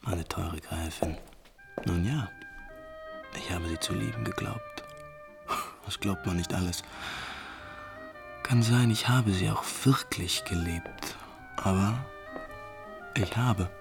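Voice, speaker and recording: A man playing an impulsive, refined, lovesick prince in 1950s German radio drama, theatrically shifting between musing and brisk command. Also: low-pitched, wildly swooping pitch, gravelly, full character voice. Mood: wistful, agitated. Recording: 1950s radio recording with music behind.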